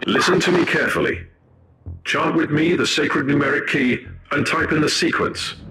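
A man's voice: a little high, moderate pace, sharp tone, reverberant, a little hiss or hum. A man voicing a smug, calculating tech priest advisor in a video game, speaking with pedantic, condescending precision. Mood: precise, instructive.

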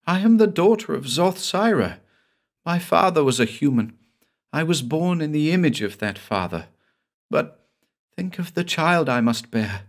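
A desperate young woman begs in a high, frantic voice, as a male narrator puts her on. Her pleas come out strained and pitched far above his usual register, tense and urgent. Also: big pitch swings, a little slow, exaggerated voice. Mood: pleading desperately.

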